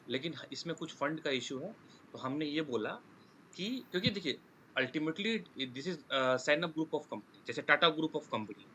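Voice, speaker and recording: An Indian man with a bright, slightly high voice teaches an online class. He explains through examples, repeating himself and dropping in English technical terms. There is some background noise on the recording. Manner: repeats and rephrases points, checks understanding with tag questions. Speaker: online course instructor. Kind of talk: live lesson explaining with examples. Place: online class with screen sharing.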